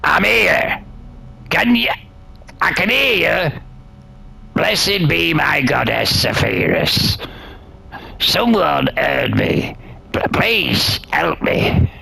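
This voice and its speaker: Gravelly, pleading old male wizard. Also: mid-range voice, animated, sharp tone, heavily acted. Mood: weak pleading. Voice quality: gravelly, husky. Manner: halting, pleading.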